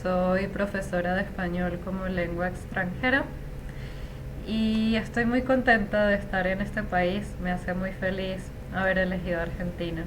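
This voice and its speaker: A woman's voice: mid-range voice, little pitch movement, a little slow, background noise. A Venezuelan woman in her thirties, an interview guest who talks in a chatty, reflective way. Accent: Venezuelan Spanish. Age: 30s. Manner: chatty, reflective, frequent fillers. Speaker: interview guest, Spanish teacher. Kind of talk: casual conversational interview.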